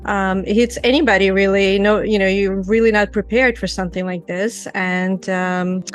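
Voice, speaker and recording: Soft-spoken woman in her forties from Ukraine. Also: medium pitch, fairly even intonation, faint music behind. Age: 40s. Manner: soft-spoken, measured, with frequent "you know" and "um". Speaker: podcast guest. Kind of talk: conversational interview.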